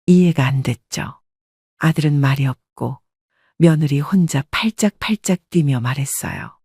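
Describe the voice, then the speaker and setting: An older woman narrates a personal life story in a confessional, scripted style. Her voice is husky and sounds close, with no room around it. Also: sits low, unhurried, dark tone, no echo.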